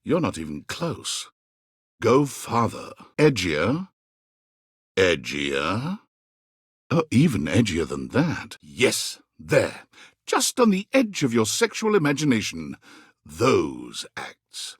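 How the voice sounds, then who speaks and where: A man's voice: lowish voice, wildly swooping pitch, slow pace, slightly rough, no echo, clean studio sound, full character voice. A man playing a dramatic, wry, mischievous narrator in a video game, with a theatrical and teasing delivery. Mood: teasing, suggestive. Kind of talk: scripted storybook-like narration.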